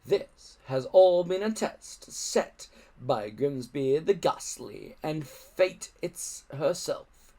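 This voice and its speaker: A treacherous storybook villain acted out by a man. He is sly and menacing, stretching his words slowly and insinuatingly, with a lively swing in pitch. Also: exaggerated voice, poor audio. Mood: quiet menace. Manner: slow, drawn-out, insinuating.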